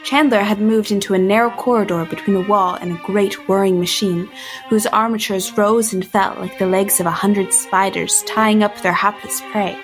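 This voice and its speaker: A woman acts an earnest, troubled young heroine in a period audio drama. Her voice sits at a middle pitch and her phrasing is careful and formal. Music plays clearly behind her. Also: fairly even intonation, moderate pace, lightly acted, poor audio. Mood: tense, haunted. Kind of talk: dramatic first-person narration and period drama acting.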